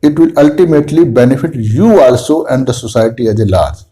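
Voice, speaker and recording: A man with an Indian accent, a native Hindi speaker, teaches in a slow, earnest lecture. His voice is mellow and dark, and he pauses and restarts his phrases as he works through each point. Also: mid-range voice, expressive. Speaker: homeopathy teacher. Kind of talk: lecture to camera.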